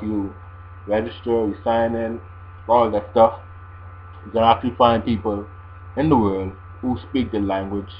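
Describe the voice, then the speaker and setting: A man giving casual, explanatory advice to viewers in a language-learning video blog, often repeating his phrases as he goes. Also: mid-range voice. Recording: dull lo-fi sound with mains hum.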